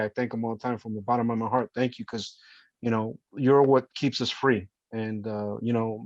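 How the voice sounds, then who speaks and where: A man's voice: mid-range voice, no echo, dull audio. A man in his fifties, born in Colombia and raised in New Jersey, telling his story as a podcast guest in an earnest, heartfelt way. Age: 50s. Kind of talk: casual interview storytelling.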